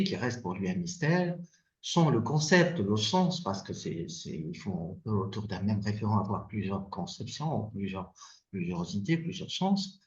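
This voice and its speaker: Animated man from Tunisia, echoey lo-fi. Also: on the low side. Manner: animated, searching for words with many restarts. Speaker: philosophy author leading the discussion. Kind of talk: academic discussion. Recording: echoey, dull lo-fi sound.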